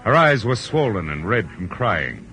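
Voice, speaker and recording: An actor playing a world-weary, wry private detective with a laconic manner, in 1940s radio drama style on an old recording. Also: male voice, lowish voice, sing-song, a little slow, pushed and bright, full character voice. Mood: brooding, wry. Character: world-weary male private detective, gruff but kindly. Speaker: narrator and lead character. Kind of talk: 1940s radio acting, hardboiled first-person narration.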